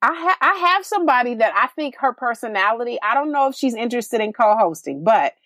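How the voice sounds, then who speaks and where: A woman's voice: a little high, expressive, brisk, a bit bright, no room sound. A middle-aged woman from California who hosts a podcast and talks in loose, rambling chat full of "like"s and restarts, laughing often. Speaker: podcast host, relationship coach. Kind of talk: casual conversation.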